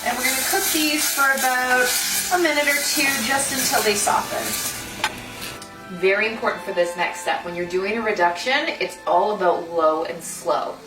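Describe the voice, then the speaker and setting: A woman's voice: mid-range voice, normal speed. A woman hosting a cooking demonstration, explaining the recipe step by step in an instructional way. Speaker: food designer and cooking host. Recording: roomy echo, distant mic, music behind.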